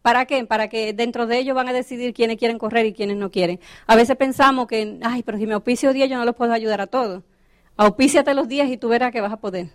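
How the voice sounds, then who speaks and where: A woman's voice: a little high, fairly even intonation, quick pace, no echo. A woman giving a motivational business training talk, often checking in with her audience. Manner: coaching, asks the audience questions.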